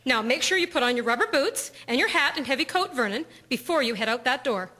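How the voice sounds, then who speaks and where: A woman playing a brisk, fretful 1920s housewife and mother in an audio drama. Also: high voice, animated, pushed and bright, exaggerated voice. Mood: bossy. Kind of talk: scripted audio drama acting. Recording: clear hiss behind the voice.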